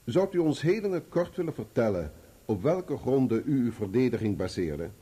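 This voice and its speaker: An actor playing a courteous, methodical lawyer questioning witnesses formally in a radio play. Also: male voice, mid-range voice, big pitch swings, moderate pace, warm tone, husky. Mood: courteous, probing.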